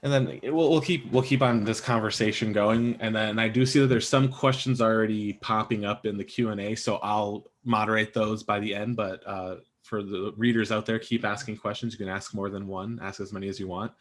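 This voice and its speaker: A man with a somewhat low voice hosts a literary panel. He moderates in a casual, conversational way. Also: fairly even intonation, a little fast, few pauses. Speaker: host and moderator.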